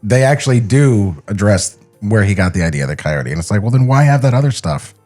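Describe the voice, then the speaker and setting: A man's voice: lowish voice, animated, fairly quick, darkish tone, faint music behind. A man co-hosting a podcast, giving casual comedic commentary in a sardonic, critical manner. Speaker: podcast co-host.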